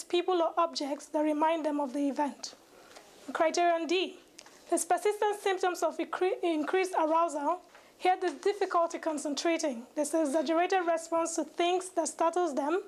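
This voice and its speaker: A young woman, a medical student, giving a scripted educational presentation, reading formally and carefully with occasional stumbles. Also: very high-pitched. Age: young adult.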